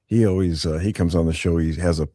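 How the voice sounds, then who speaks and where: A man, an author and podcaster, answering interview questions in a smooth, deep radio voice and laughing easily. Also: steady tone, fast, warm tone, no echo. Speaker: author and podcast host, guest.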